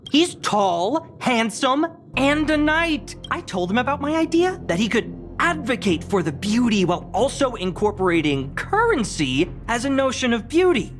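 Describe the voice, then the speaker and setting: Game character, grumbling office worker, self-deprecating, excitable, highly varied pitch. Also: male voice, normal speed, faint music behind. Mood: bitter, then scheming. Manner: sardonic, theatrical. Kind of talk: video game voice acting.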